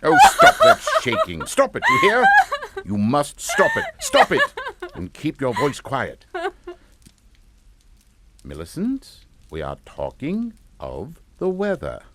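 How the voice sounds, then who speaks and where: A man's voice: a little high, wildly swooping pitch, takes it slowly, long pauses, heavy background noise, exaggerated voice. An actor playing a pompous, domineering middle-aged English father in a radio suspense drama, scolding and emphatic. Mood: commanding.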